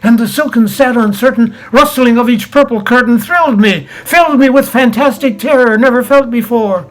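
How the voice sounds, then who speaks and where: A man giving a theatrical, expressive recitation of a classic poem, swelling and hushing for dramatic effect. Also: very high-pitched, moderate pace. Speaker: poetry reader. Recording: music under the voice. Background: background music.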